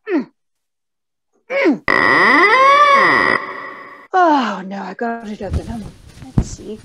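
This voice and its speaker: A woman's voice: mid-range voice, heavy background noise. A woman playing a frightened, earnest young lady in an old-time radio mystery, with a breathless, hesitant manner. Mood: uneasy. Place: indoor room on a video call.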